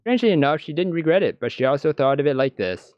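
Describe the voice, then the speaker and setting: Smooth-voiced man narrating a story, dry recording. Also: a little high, lively intonation, fast talker, no room sound, muffled recording. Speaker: gaming video host reading a visual novel aloud. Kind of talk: scripted narration, reading story text aloud.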